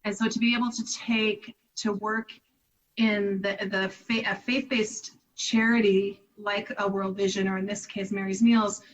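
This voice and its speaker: A woman's voice: medium pitch, flat delivery, unhurried, distant mic, low-quality recording. A Canadian woman in her fifties, a charity director, talking reflectively in an interview and laughing easily. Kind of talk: conversational interview.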